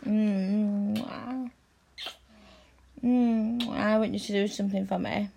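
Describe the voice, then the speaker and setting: A woman in intimate audio roleplay, speaking softly and coaxingly and often dropping into a whisper. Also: medium pitch, little pitch movement, vocal fry, breathy edge. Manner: soft, slow and coaxing, often drops to a whisper.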